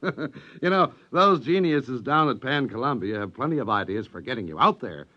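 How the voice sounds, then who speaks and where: An actor playing a confident, breezy test pilot with a wry manner, in 1950s radio drama style. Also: male voice, mid-range voice, lively intonation, moderate pace, close to an everyday voice. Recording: dull vintage radio recording.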